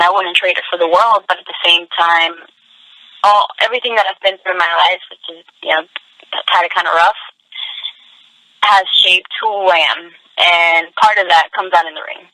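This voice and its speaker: A woman's voice: fairly low pitch, bright, forceful tone, no room sound, rough recording. A young woman from Venezuela whose first language is Spanish, a wrestler talking frankly about her life in a casual interview and laughing often. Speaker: professional wrestler, guest.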